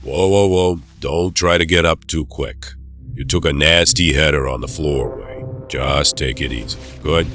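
Blunt male doctor-enforcer, audio drama, male actor: rock-bottom pitch, unhurried, firm, faint music behind. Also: a bit bright. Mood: wry, calm.

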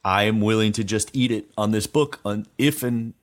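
Guy co-host chatting casually, kinda low voice, thinking out loud. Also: moderate pace. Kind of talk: casual conversational discussion.

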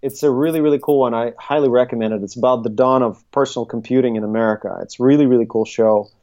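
A middle-aged man, speaking conversationally as an interview guest and haltingly thinking his way through his answers. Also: medium pitch, fairly even intonation, mellow, muffled recording. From Russia, Soviet Union. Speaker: interview guest, tech consultancy CEO. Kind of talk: conversational interview, recounting career.

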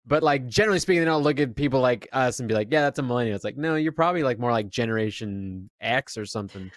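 A man in his thirties with a dry, self-mocking sense of humor. His voice sits at a middle pitch. It is recorded close and dry. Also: lively intonation, fast talker, no room sound. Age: 30s. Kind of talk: casual chat.

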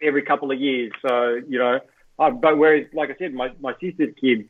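A man's voice: medium pitch, a little fast, phone-quality sound, slight background noise. A middle-aged Australian man chatting as a guest, telling stories with lots of fillers and restarts. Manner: relaxed storyteller, many fillers. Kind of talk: casual chat.

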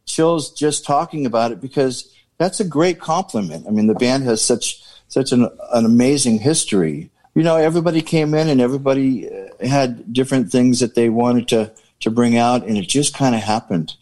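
A man's voice: mid-range voice, moderate pace, a little mellow, low-quality recording. Guy from a band rambling thru an interview, lots of "and, and". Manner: rambling, repeats words while searching. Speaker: band member. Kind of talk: casual interview talk.

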